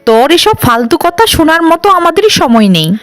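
A woman's voice: very high voice, very animated, a little fast, a bit bright, no room sound, exaggerated voice. A woman voicing a vain, scornful eldest daughter in a cartoon story, condescending and dismissive.

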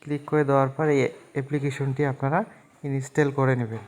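A man's voice: medium pitch, flat delivery, quick pace, a little mellow. A man narrating a phone app tutorial step by step, in a repetitive, instructional way. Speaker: tech tutorial host.

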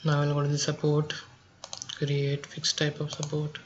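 A man narrating a step-by-step software tutorial in short, halting phrases, as an instructor. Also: fairly high pitch, completely flat delivery. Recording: dull lo-fi mic, keyboard clicks, noise.

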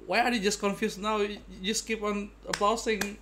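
Excitable male streamer over noisy game audio. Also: sits high, moderate pace. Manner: excitable, repeats himself. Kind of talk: casual live gameplay reaction. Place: indoor room with game audio behind.

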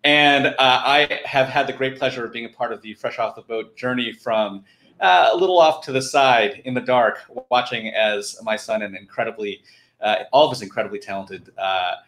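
A man's voice: mid-range voice, moderate pace, fairly bright tone. American man co-hosting in an echoey room. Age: middle-aged. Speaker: co-host of a livestream event. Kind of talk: informal welcome speech. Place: indoor room at home, on a video call. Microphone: headset. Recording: roomy, echoing home recording.

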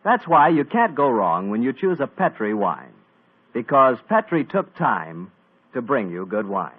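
A young male radio announcer with an upbeat, smooth 1940s commercial delivery. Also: medium pitch, sing-song, a little slow. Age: young adult. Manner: upbeat, smooth salesman patter. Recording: tinny old radio broadcast.

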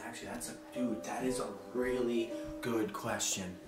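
A man's voice: mid-range voice, fairly even intonation, moderate pace, echoey room. A man chatting casually from off camera in a room. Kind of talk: casual chat. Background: music.

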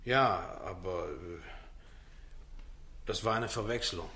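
Radio drama: evasive young defendant, lowish voice, halting, colloquial. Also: male voice, sharp tone, full character voice. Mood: uneasy, defensive. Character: evasive young male defendant, casual, down-on-his-luck nephew. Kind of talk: courtroom radio play acting.

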